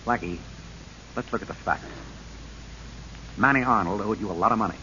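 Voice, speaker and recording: A man's voice: lowish voice, normal speed, sharp tone. An actor playing an earnest, resolute lawman who speaks sternly and emphatically in a 1940s radio drama. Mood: accusing. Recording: hissy, dull old radio broadcast.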